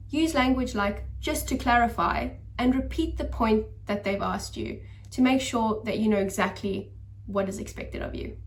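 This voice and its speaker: A woman doctor talking straight to camera with earnest, encouraging advice. Her voice sits at a middling pitch and comes across as somewhat distant from the mic. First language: English. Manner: earnest, encouraging, steady explaining. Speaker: doctor and channel host. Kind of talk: talk-to-camera advice video.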